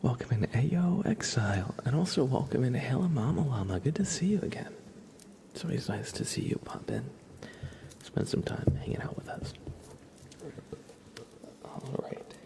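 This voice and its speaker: A man with a congested, stuffy nose chats casually with viewers as an ASMR livestream host, hushed and often whispery. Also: mid-range voice, very animated, moderate pace, creaky, long pauses.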